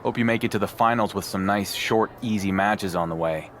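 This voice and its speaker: An actor playing a cocky, wry underdog pro wrestler in a sports game, with dry, confident banter. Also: male voice, lowish voice, a little fast, background noise, close to an everyday voice. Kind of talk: cinematic sports game dialogue.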